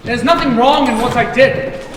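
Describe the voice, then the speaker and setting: A young stage actor playing a weary, self-reproaching Victorian poet in the dock, speaking in an anguished, declamatory way. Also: male voice, very high-pitched, moderate pace. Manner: declamatory, anguished, rising to cries. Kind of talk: stage play performance. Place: theater stage. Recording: echoey theater from far off, audible hiss.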